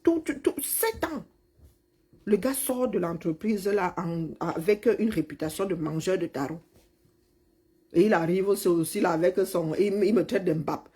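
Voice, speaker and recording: A woman's voice: fairly low pitch, moderate pace, dark tone, husky. A middle-aged woman, mockingly scolding a politician in a heated live-stream rant. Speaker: commentator replying to a politician.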